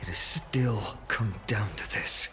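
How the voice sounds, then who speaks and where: A man's voice: fairly low pitch, slow pace. A man playing a concerned, resigned confidant in dramatic fantasy game dialogue. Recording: loud music behind the voice.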